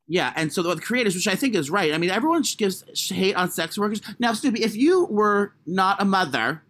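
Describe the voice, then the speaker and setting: A man with a high voice co-hosting a casual comedy podcast, crude and self-deprecating in his humour. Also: lively intonation, brisk, a bit bright. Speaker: podcast co-host. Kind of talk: casual comic chat.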